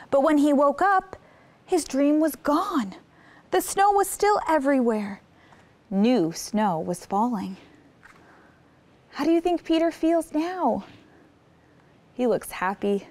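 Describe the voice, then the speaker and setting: A woman's voice: on the high side, darkish tone. A woman hosting story time, reading a picture book aloud expressively and putting simple questions to young listeners. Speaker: children's story time host.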